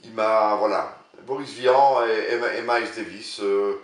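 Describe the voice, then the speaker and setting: A man in his sixties, an author answering interview questions in a halting way, often repeating words as he searches for them. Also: on the low side, distant mic. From Belgium. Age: 60s. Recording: roomy sound, voice a bit off-mic.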